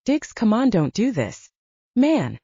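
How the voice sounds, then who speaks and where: A woman's voice: medium pitch, wildly swooping pitch, slow pace, dark tone, slightly rough, no echo, highs cut off, voice pushed up, heavily acted. A woman voicing an anxious male werewolf peacekeeper in an audiobook, urgent and exasperated. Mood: pleading. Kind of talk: audiobook character dialogue.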